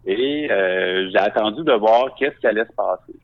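Low-voiced Québécois man on a phone-like line. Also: vocal fry, no room sound. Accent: Québécois French. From Quebec. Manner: reflective, measured, searching for words. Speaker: visual artist, podcast guest. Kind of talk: personal storytelling for a podcast. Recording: narrow phone-like sound, dry.

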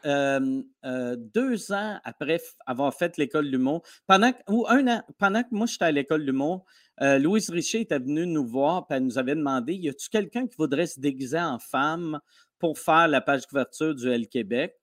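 A man with a Québécois French accent tells stories in a casual podcast chat. His voice sits a bit high, and he talks in animated bursts full of restarts and asides. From Quebec. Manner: animated storytelling, fast with restarts. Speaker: comedian guest.

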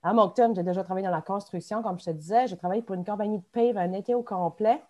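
A woman in her forties with an Acadian French accent talks freely as a podcast guest. Her pitch sits in an ordinary middle range, and she rambles and laughs at herself as she tells her story. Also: fast, warm tone. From Acadian Peninsula, New Brunswick. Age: 40s. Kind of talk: casual interview chat.

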